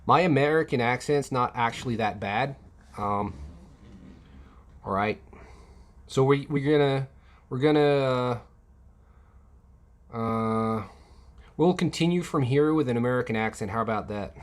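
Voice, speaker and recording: A man's voice: medium pitch, measured pace, pauses often. Rambling man chatting with a livestream. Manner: rambling, many fillers and restarts; reads and replies to chat comments. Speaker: livestream host answering chat. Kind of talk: casual live chat.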